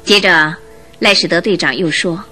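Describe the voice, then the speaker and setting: A woman narrating a detective radio drama as a storyteller, in a scripted, expressive Mandarin delivery. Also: fairly low pitch, normal speed, faint music behind.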